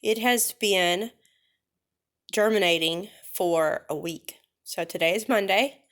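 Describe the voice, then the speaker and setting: A woman's voice: mid-range voice, slow pace, fairly bright tone. Nasal woman getting over a cold. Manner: chatty, rambling, with many ums and self-corrections. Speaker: vlogger. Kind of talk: casual how-to demonstration.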